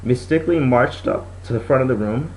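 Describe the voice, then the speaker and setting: A man's voice: medium pitch, moderate pace, a little mellow, slight background noise, low-quality recording. A man reading a children's story aloud as a scripted narration. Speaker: storybook reader.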